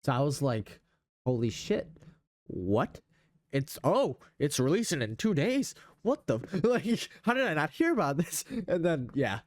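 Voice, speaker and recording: A man's voice: on the high side, very animated, measured pace, no room sound, clean studio sound. A young man in casual gaming chat, speaking in a slangy, enthusiastic way. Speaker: podcast host.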